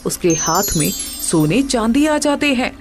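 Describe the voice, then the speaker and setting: Lively female story narrator. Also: on the high side, a little slow, over music. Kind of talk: scripted moral story narration.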